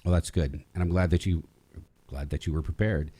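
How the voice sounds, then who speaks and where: A man with a low-pitched voice works as an interview host on radio. He speaks conversationally, feeling his way through each question with small repeats and pauses. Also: steady tone, dark tone, husky. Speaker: radio show host interviewing a former student.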